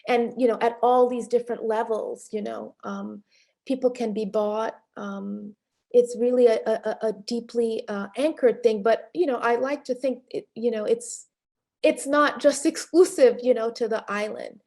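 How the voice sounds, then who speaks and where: A middle-aged woman filmmaker answering interview questions in a reflective way, pausing often with fillers and restarts. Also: on the high side, fairly even intonation, gravelly, darkish tone. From Panamanian and Haitian family background. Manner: thoughtful, many fillers and restarts, occasional laughs. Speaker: film director being interviewed.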